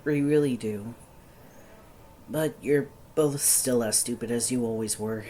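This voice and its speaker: Casting: actress as caring but scolding young pal, audio drama, deep and deliberate, slight noise. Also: female voice, mellow. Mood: concerned, scolding. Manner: dry, blunt.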